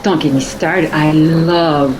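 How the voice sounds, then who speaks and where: A woman's voice: sits low, steady tone, background noise. A woman playing a flamboyant, effusive, glamorous friend in a radio play, drawing out her words. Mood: effusive, delighted. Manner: effusive, drawn-out.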